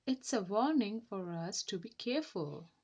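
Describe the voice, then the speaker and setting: A woman's voice: medium pitch, lively intonation, moderate pace, a little mellow, breathy edge. A woman voicing a cautious, practical male engine driver in a children's storybook, with a careful, matter-of-fact manner. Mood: cautioning.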